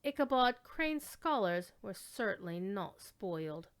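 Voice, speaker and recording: A woman giving a measured, theatrical dramatic reading of classic literary fiction, lingering on descriptive words. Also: mid-range voice, very animated, smooth. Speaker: storyteller reading aloud.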